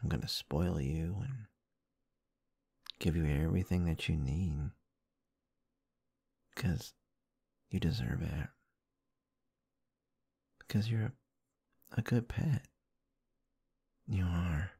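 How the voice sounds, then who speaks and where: Guy doing asmr roleplay, really low gravelly voice, slow and soothing, breathy, right up on the mic. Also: no echo, clean studio sound. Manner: soft, affectionate, soothing, doting, murmuring. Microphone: close-up microphone, dry.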